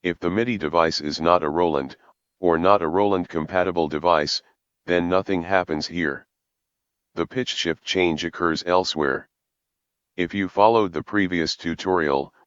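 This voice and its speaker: A man presenting a scripted programming tutorial in a measured, explanatory way with formal wording. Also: deep voice, steady tone, a bit bright, clean tone, dry recording, lo-fi sound.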